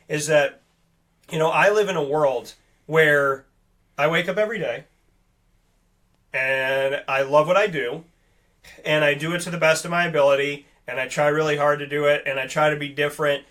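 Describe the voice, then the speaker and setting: A young adult man hosting a podcast, talking in an earnest, rambling and animated way. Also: fairly high pitch. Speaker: podcast host. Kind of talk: casual chat.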